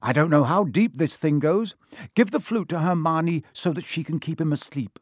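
A man voicing a blunt, sarcastic, excitable schoolboy with a grumbling, snappy manner in an audiobook. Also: on the high side, normal speed, dry recording, studio quality, pitched above the performer's own voice, natural voice. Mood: uneasy. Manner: snarling, bellowing, grumbling. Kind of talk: audiobook character acting.

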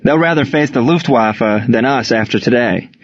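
A man's voice: medium pitch, normal speed, no room sound, highs cut off, lightly acted. A man voicing a boastful, confident navy ballplayer in an audiobook. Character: cocky, boastful male navy baseball catcher.

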